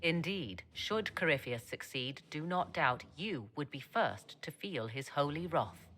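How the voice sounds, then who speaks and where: An actress voicing a haughty, cryptic sorceress in a fantasy game, with arch, theatrical, old-fashioned phrasing. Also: female voice, sits low, expressive, measured pace, a bit bright, exaggerated voice. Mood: grave, knowing. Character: haughty, cryptic, sharp-witted sorceress advisor.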